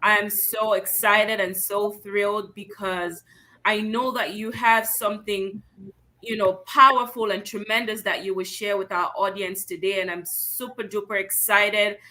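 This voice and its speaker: A woman from Cameroon hosting a livestream, her voice bright and forward. She greets guests warmly by name and talks with upbeat enthusiasm, pitched in a medium range. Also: flat delivery, unhurried, rough recording. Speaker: host.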